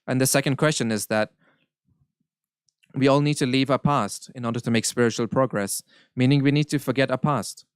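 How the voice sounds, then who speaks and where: Smooth-voiced man interpreting. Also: mid-range voice, no room sound, clean studio sound. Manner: measured, earnest, occasional self-corrections. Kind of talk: live interpretation of a spiritual Q&A.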